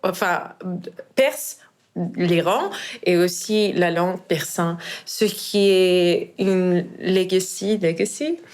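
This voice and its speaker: A woman in her thirties from Iran with a Persian accent, a podcast guest speaking French as a second language, often pausing and searching for words. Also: fairly low pitch, darkish tone. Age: 30s. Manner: hesitant, searching for words, many fillers. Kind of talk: casual interview.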